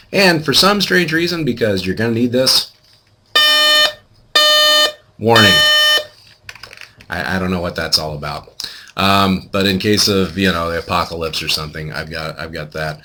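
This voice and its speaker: A man's voice: low-pitched, sharp tone, a little hiss or hum. A middle-aged American man giving a casual product review in a chatty, self-deprecating, emphatic way. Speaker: home video blogger.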